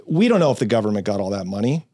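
A man's voice: lowish voice, sing-song, racing, mellow, dry recording, clean studio sound. A man hosting an interview, a former drug dealer, talking bluntly with street slang and frequent interjections. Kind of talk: casual interview chat.

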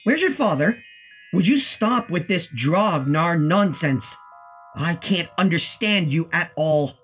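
A man's voice: fairly high pitch, animated, slow, fairly bright tone, soft background music, voice pushed up. A man voicing a stern, scolding mother who is a menacing child-eating dragon in a storybook tale. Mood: demanding. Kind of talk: storybook character voice.

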